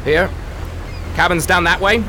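A man's voice: sits high, a little slow, background noise. A man playing a curt, brusque ship's captain in a radio drama. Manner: brusque, dismissive. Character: curt, gruff sea captain.

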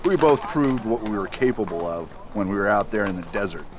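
A determined frontier marshal, played by a man in a Western radio play. His mid-range voice swings widely in pitch, commanding in a chase and earnest among friends. A faint outdoor hum runs underneath. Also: lively intonation, brisk, natural voice. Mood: warm. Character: steady, determined male US marshal. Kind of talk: amateur radio drama acting.

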